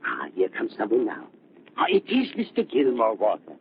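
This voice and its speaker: An actor playing a smooth, manipulative scientist doctor, theatrical and insinuating, in a 1930s radio mystery. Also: male voice, lowish voice, wildly swooping pitch, normal speed. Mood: sly, coaxing. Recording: noisy, muffled 1930s radio recording.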